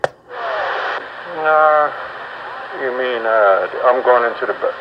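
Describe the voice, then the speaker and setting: A man with a mid-pitched voice comes through a dull two-way radio link with clear noise behind him. He talks casually and haltingly, often searching for words. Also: lively intonation. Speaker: amateur radio operator. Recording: muffled, noisy two-way radio transmission.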